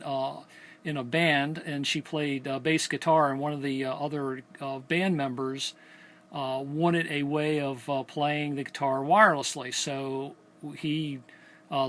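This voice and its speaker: Middle-aged man, higher voice, halting delivery. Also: a bit bright. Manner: hesitant, halting explanations. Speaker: amateur radio hobbyist. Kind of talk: casual radio chat. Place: indoors at a home electronics workbench.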